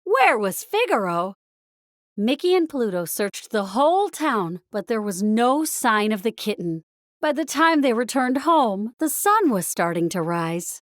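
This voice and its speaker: A woman narrating a scripted children's story in an expressive, carefully spoken storytelling manner. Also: a little high, measured pace, dry recording, clean studio sound.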